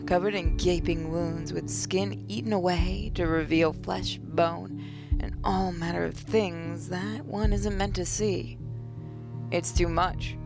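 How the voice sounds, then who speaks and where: A woman's voice: fairly low pitch, steady tone, slow pace, gravelly. A woman hosting a gaming video, reading horror story text theatrically and dropping in casual joking asides. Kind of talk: dramatic read-aloud of horror game text with casual asides. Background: game music.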